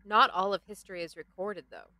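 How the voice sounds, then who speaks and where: Woman playing a bright, curious companion. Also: mid-range voice, steady tone, a little fast, dry recording, natural voice, rough recording. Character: curious, sharp-minded female travelling companion. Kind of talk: audio drama acting.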